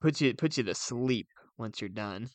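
A man's voice: medium pitch, animated, moderate pace, dry recording. A man co-hosting a casual podcast, explaining things with enthusiasm. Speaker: podcast co-host.

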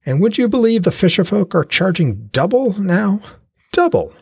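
Lively fish-trader type in a storybook, pitched well up and husky, pushy and exclaiming, put on by a male narrator. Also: normal speed, no room sound, studio quality, exaggerated voice. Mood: friendly haggling. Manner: chatty, bargaining, exclaiming.

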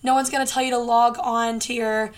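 Young female podcast host, late teens, highish voice with a hoarse rasp, informal and encouraging, chatty solo delivery. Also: little pitch movement, fairly quick. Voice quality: hoarse, raspy. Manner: informal, earnest, encouraging, peppered with "like". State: hoarse from a lot of talking. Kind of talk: casual solo podcast chat.

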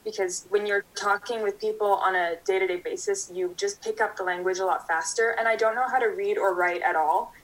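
A young woman from Canada, a guest answering interview questions in a steady, thoughtful way. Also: mid-range voice, fairly quick, few pauses, poor audio. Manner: thoughtful, steady, speaks in full sentences.

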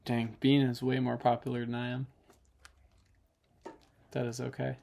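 A man's voice: medium pitch, completely flat delivery, unhurried, vocal fry, mellow. Laid-back young man with dry jokes. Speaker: podcast co-host. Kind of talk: casual couple's chat.

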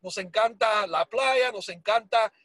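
A man in his forties with a Cuban Spanish accent and a high, husky voice, telling his story with animated, self-mocking humor. English words slip into his Spanish, and the sound is close and dry. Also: a little slow, no echo. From Miami, United States. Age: 40s. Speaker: guest. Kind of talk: casual storytelling interview.

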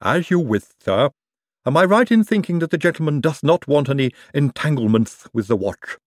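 A man's voice: on the high side, animated, normal speed, no room sound, studio quality, pitched above the performer's own voice, lightly acted. A man voicing a gloomy, formal male servant-surgeon who speaks with a mournful deference. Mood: deferential.

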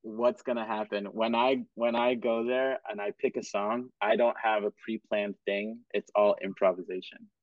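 A man who grew up in Cameroon and Kenya, hosting a podcast in a relaxed, encouraging way and laughing often. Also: lowish voice, moderate pace, few pauses, dry recording. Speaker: podcast host. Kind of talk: casual interview chat. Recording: tinny, dry phone-like sound.

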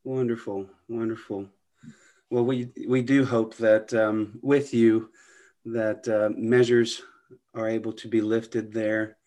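A man's voice: on the low side, level tone, slow pace, warm tone. A man hosting a podcast interview, speaking in a friendly way with some hesitant restarts. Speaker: podcast host.

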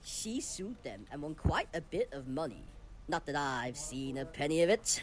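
A man playing a forgetful, tactless company boss in a comic audio play, halting and cheerfully oblivious. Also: a little high, sing-song. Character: rambling, absent-minded, tactless male company boss.

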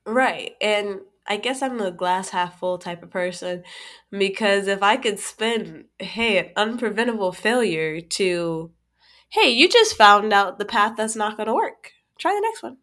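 A woman talking on a casual podcast, her voice stuffy from a cold. She speaks in an animated way, laughs often and restarts her phrases as she thinks aloud. Her pitch sits in a middle range. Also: measured pace. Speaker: podcast co-host. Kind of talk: casual chat.

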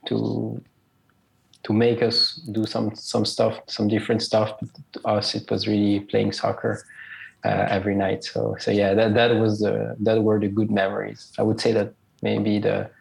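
Hesitant low gravelly young man. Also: completely flat delivery, normal speed, low-quality recording. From Switzerland. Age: 20s. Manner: hesitant, many fillers and repeated words. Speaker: interview guest, school alumnus. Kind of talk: casual interview answers.

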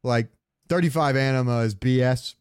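A man's voice: mid-range voice, moderate pace, clean tone, no room sound, clean studio sound. A man hosting a casual gaming podcast, talking in an opinionated, rambling way with plenty of swearing. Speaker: podcast host.

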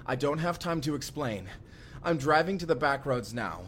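A man's voice: a little high, lively intonation, normal speed, a bit bright, a little hiss or hum. An actor playing a desperate, foul-mouthed young man on the run in an audio drama. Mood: urgent, exasperated. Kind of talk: first-person immersive audio drama acting.

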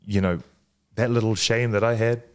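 A man, a guest talking casually with many pauses and restarts. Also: on the low side, steady tone, a little mellow. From Fiji and New Zealand. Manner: hesitant, many ums and restarts. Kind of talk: casual conversation.

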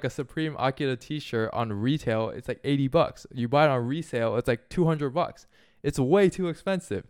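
A man co-hosting a casual podcast, talking in a rambling, animated way with lots of "like" and "um". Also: medium pitch, smooth, dry recording, clean studio sound. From Japan. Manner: animated, rambling, many fillers like "like" and "um". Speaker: podcast co-host.

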